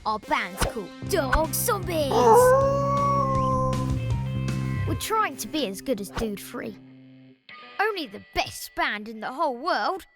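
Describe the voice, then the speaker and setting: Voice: high-pitched, very animated, a little slow, exaggerated voice. An actor voicing a cheerful, chatty schoolboy narrator in a children's cartoon. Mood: upbeat. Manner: chatty, boyish. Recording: music behind the voice.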